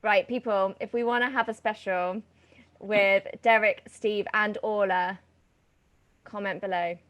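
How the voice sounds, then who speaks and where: A woman's voice: medium pitch, steady tone, a little slow, highs cut off. A woman speaking casually as the host of an interview chat. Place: remote online video chat.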